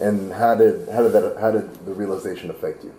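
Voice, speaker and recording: A man with a deep, mellow voice asks brief, plain questions. His voice rings a little in an echoey room. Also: steady tone, brisk. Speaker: interviewer. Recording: echoey room, faint noise.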